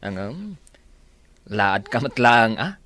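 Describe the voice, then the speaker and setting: An actor playing a cheerful, teasing married sidekick to a boss in an Ilocano radio comedy drama. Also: male voice, mid-range voice, very animated, slow, pushed and bright, exaggerated voice. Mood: teasing, jolly.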